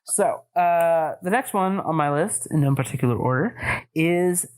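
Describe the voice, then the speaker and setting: A man's voice: on the high side, moderate pace, clean tone. A man co-hosting a podcast in casual chat, rambling and joking, often trailing off with fillers. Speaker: podcast co-host.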